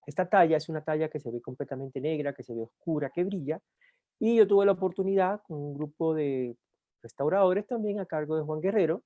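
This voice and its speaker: A man from Cuba with a Cuban accent, lecturing at a university in an unhurried way, often pausing on "eh" and restarting words. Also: on the high side, dry recording, dull audio. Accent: Cuban Spanish. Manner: unhurried with frequent "eh" fillers and restarts. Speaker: guest lecturer. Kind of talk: university talk.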